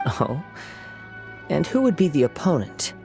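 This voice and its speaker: A man voicing a calm, courteous young wandering samurai in a video game. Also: on the high side, a little slow, creaky, full character voice. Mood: composed. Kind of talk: English video game voice acting. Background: game music.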